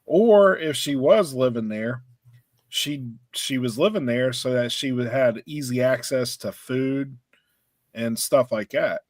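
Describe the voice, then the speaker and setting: A man's voice: medium pitch, slow pace, no room sound, studio quality. A man co-hosting a podcast, telling stories in laid-back casual chat with slang. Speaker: podcast co-host.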